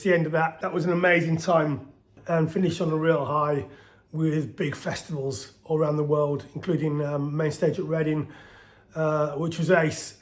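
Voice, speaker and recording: A middle-aged man with a soft voice, casually recalling his band's history in a chatty, rambling way full of restarts and fillers. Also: a little high. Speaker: band singer telling its history. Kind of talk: casual spoken storytelling to camera.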